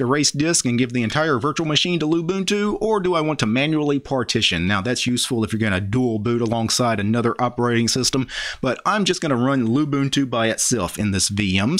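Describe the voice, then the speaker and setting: An American man in his forties, a tech YouTuber calmly talking viewers through a software install step by step, recorded at a home studio desk on a boom-arm studio mic. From United States. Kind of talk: screen-recorded software tutorial. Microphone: large studio mic on boom arm.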